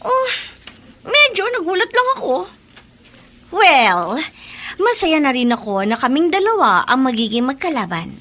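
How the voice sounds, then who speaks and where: A proud, competitive schoolgirl for a radio play. Her voice is very high and sharp, with animated swings and a curt, scoffing manner. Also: unhurried, pauses often, exaggerated voice. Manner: haughty, scoffing. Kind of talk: Tagalog radio drama acting.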